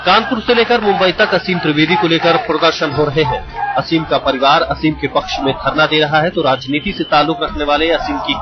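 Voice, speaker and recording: A man narrating a scripted TV news report in a dramatic style. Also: a little high. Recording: dull broadcast audio with music. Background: loud music.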